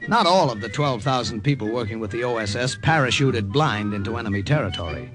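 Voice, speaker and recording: A man's voice: medium pitch, expressive, brisk, close to an everyday voice. A male actor playing a short, cocky army sergeant in 1940s radio drama, telling his story in a wry, chatty way. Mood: wry storytelling. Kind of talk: 1940s radio acting, first-person narration and dialogue. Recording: old-time radio broadcast with music behind.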